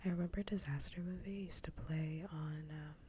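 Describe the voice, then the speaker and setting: A woman streamer chatting casually and with opinions in a soft, breathy whisper, close to an ASMR microphone. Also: deep voice, fairly even intonation, moderate pace, a little hiss or hum.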